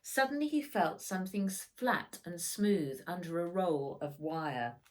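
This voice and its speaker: A woman narrating a children's storybook expressively, with deliberate, dramatic emphasis, as the author reading aloud. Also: lowish voice, lively intonation.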